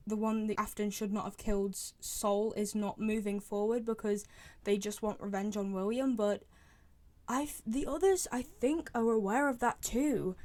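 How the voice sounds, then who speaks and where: A woman's voice: a little high, mellow, dry recording. A woman chatting casually and giving opinions as a fan commentator, rambling and repeating herself for emphasis. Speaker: fan commentator ranking game voice lines.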